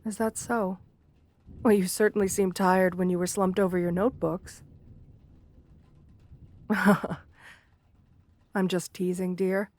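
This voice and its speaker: A woman's voice: on the low side, little pitch movement, takes it slowly, long pauses, darkish tone. A woman voicing a shy, lonely, romantic gargoyle in an ASMR fantasy roleplay, speaking with formal, polite diction and bashful hesitations. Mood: kind, teasing. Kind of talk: ASMR fantasy roleplay, intimate one-sided dialogue.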